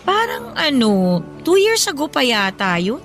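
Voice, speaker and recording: A woman acting as a bubbly, feisty young woman on a date, with a high voice and a Filipino accent. She is playful and sassy, in audio drama style, with music playing underneath. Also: wildly swooping pitch, measured pace, fairly bright tone, heavily acted. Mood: wry, confiding. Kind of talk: Tagalog audio drama dialogue.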